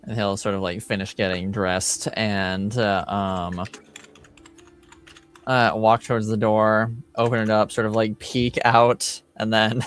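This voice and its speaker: A man acting as game master, improvising narration for a tabletop roleplaying game with hesitant, halting phrasing. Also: on the low side, level tone, fairly bright tone, clear, resonant tone.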